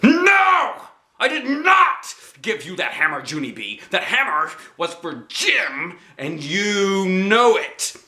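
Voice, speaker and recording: Storybook mother man acting her: stern, exasperated, hollering scold, high and very animated. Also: takes it slowly, echoey room, full character voice. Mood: furious.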